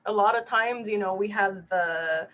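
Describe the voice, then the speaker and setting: A woman's voice: medium pitch, a little slow, a bit bright, slight background noise. A woman in her thirties from Puerto Rico whose first language is Spanish, a physician giving an earnest, conversational webinar talk over a video call, with frequent fillers. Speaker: physician panelist. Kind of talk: webinar presentation.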